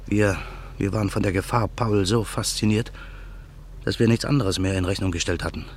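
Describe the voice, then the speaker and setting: Man voicing a brooding narrator, reflective, measured, very deep voice, faint hiss. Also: steady tone, close to an everyday voice. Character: man narrating his own past, brooding. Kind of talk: radio play narration.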